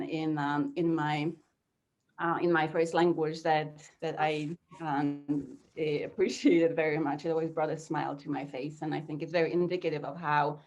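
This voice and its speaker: A woman whose first language is Polish, a philosophy professor, giving an earnest, halting memorial tribute over a video call. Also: sits low, a little mellow, dull audio. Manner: halting, repeats words, earnest. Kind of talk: memorial speech.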